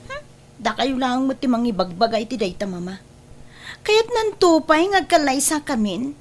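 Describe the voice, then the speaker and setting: A woman's voice: high-pitched, animated, normal speed. An actress playing a headstrong young woman in love in an Ilocano audio drama, pleading and emphatic, with an Ilocano accent. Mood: defiant, hurt.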